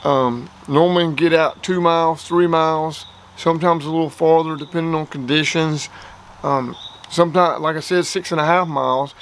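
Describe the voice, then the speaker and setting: A man's voice: fairly high pitch, fairly even intonation, normal speed, a little hiss or hum. A man with a plain-spoken, folksy way of talking, giving a casual hands-on gear review outdoors. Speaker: product reviewer.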